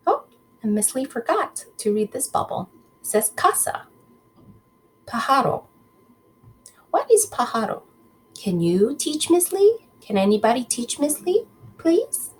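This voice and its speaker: Woman, primary teacher read-aloud. Lively swooping pitch, slightly husky, unhurried, speaks straight to the children. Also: a little hiss or hum, low-quality recording. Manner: expressive, addresses children directly with questions. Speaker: teacher reading aloud to a class.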